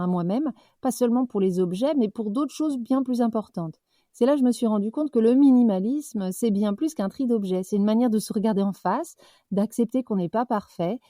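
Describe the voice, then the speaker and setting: A young woman hosting a podcast, reflecting out loud in a loose, conversational way with fillers and self-corrections. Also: mid-range voice, no echo, clean studio sound. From French-speaking, living abroad. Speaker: podcast host. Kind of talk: personal reflective monologue.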